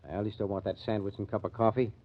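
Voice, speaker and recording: An actor playing a bitter, wisecracking diner worker in a radio drama, slangy and sarcastic. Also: male voice, fairly low pitch, level tone, fast, lightly acted. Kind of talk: 1950s radio drama acting. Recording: dull vintage radio recording.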